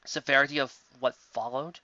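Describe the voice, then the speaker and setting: A dutiful young guard captain, deferential and a little halting as a man reads him in a near-natural voice. The tone is bright and forward. The sound is dry and muffled, like a simple home recording. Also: medium pitch, steady tone, dry recording. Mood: worried. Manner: respectful, halting and anxious.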